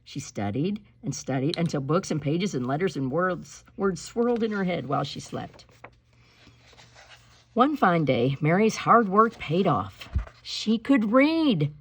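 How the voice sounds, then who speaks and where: Husky woman reading a storybook aloud. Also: lowish voice, big pitch swings. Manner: emphatic and dramatic, slowing on key words. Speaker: reader of a children's picture book. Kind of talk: expressive read-aloud storytelling with spoken asides.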